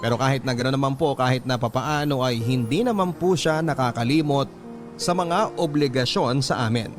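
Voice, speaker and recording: A Filipino man narrates a letter as a radio host, in first person. His mid-range voice is polite and measured, told as dramatic storytelling. Music plays clearly underneath. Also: medium pitch.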